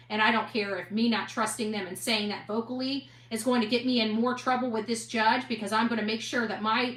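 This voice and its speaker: A woman's voice: on the high side, fairly bright tone, echoey room, low-quality recording. A woman talking straight to her online audience as an investigative host, blunt, emphatic and defiant. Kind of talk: impassioned monologue to online audience.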